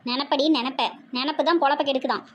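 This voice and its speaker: A woman acts out a bossy older village mother-in-law, high-voiced and nagging. She scolds sarcastically with a southern Tamil Nadu accent, in the style of a domestic comedy skit. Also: fairly even intonation, fast, dull audio, slight background noise, natural voice. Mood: nagging, indignant.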